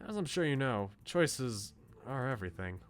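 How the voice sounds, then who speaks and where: A man's voice: medium pitch, big pitch swings, moderate pace, clear, resonant tone, close to an everyday voice. A man reading aloud a visual novel's bookish male student, articulate, philosophical and politely opinionated. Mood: earnest, critical. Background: music.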